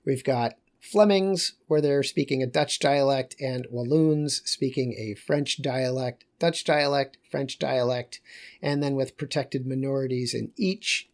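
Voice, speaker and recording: A man lecturing on geography in a loose, conversational way, pausing and correcting himself as he goes. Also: medium pitch.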